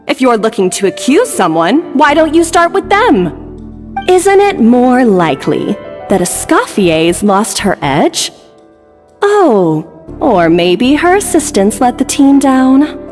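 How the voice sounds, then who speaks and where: A woman's voice: a little high, big-room sound. An actress voicing a smug, ambitious female rival chef in a video game who taunts with sarcasm.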